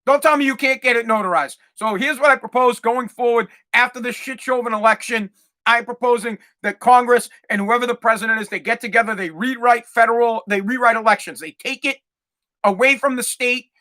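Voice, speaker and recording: Guy ranting on his podcast, swearing a lot, all fired up, sharp forceful voice. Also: extremely high pitch. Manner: profane, fired-up, repetitive. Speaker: podcast host. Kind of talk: ranting monologue.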